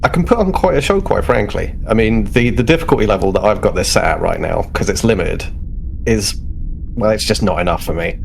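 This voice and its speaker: A man plays a cocky starship crewman in a tabletop sci-fi game, lowish and creaky. He sounds restless and boastful in a casual way, with noise in the background. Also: expressive, fairly quick.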